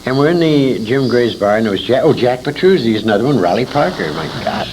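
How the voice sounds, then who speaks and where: A man's voice: animated, quick pace, darkish tone. An older American man being interviewed, reminiscing in a rambling way as he searches his memory for names. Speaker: interviewee, former radio actor. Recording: noisy amateur tape with low rumble.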